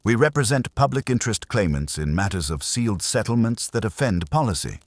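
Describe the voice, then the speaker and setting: A man voicing a self-important male legal advocate in an audiobook mystery, smooth, formal and pompous. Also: lowish voice, big pitch swings, fairly quick, no room sound, pitched above the performer's own voice.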